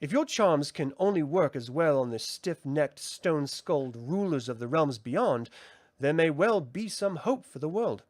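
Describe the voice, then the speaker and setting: A man doing a gentle small wizard. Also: fairly high pitch, expressive, moderate pace, dry recording, clean studio sound. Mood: wry. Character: small gentle male Nelwyn wizard, earnest and tender.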